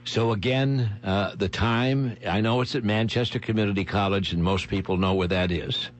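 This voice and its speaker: A man hosting a talk radio interview, speaking in a halting, emphatic way and repeating his phrases. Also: on the low side. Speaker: radio host. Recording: clear background noise and hum.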